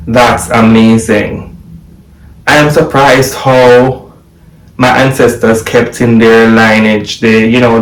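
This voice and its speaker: Slow-talking young man in an echoey room. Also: medium pitch, far from the mic. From Jamaica. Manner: excited, exclaiming, repeating figures. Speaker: vlogger. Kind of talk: casual video commentary. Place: home office room, indoors.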